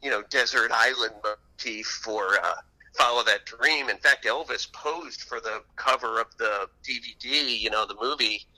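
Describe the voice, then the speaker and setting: Bright, forceful man telling stories. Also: medium pitch, normal speed, low-quality recording. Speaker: author being interviewed. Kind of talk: conversational radio interview, anecdotal storytelling.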